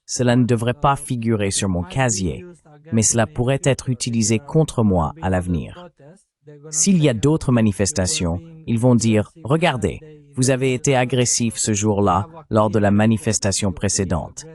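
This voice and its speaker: A man being interviewed, answering in a calm, measured way, voiced over in French. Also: on the low side. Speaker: interviewee, protester.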